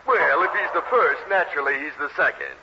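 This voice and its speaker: A man's voice: on the high side, expressive, brisk, background noise, lightly acted. An actor playing a wry, officious henchman and examiner in a 1940s radio comedy, speaking in a dry, formal way. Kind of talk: 1940s radio sitcom acting. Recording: dull 1940s radio recording.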